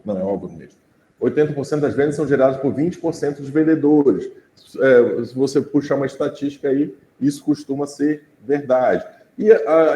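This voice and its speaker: A Brazilian man gives a relaxed, chatty lecture, checking in with his students as he goes. His voice is mellow and sits at a middling pitch. The sound has a roomy echo. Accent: Brazilian Portuguese. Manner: conversational, checks in with the class with tag questions. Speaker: teacher. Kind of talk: informal class lecture.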